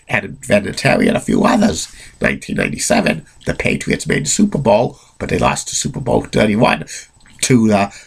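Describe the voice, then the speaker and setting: A man's voice: fairly low pitch, vocal fry, a bit bright. A man with a cold telling sports history off the cuff, rambling with many restarts and blunt opinions. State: has a cold. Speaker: amateur sports history narrator. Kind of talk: unscripted solo narration.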